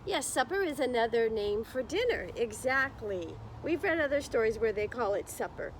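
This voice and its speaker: A woman's voice: on the high side, big pitch swings, moderate pace, a little hiss or hum, rough recording. A woman reading a picture book aloud to young children, expressively, with encouraging, chatty explanations along the way. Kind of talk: slow children's read-aloud with chatty asides to listeners.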